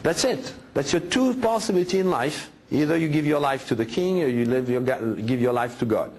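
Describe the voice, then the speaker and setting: A man's voice: a little high, expressive, fairly quick, darkish tone, poor audio. A man speaking in a lecture style, making emphatic points and often asking rhetorical questions. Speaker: guest speaker in a played clip.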